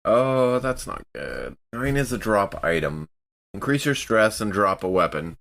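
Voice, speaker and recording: Low, slow-paced, animated man. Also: vocal fry, noisy background. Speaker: player in a tabletop game. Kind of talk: casual game chat.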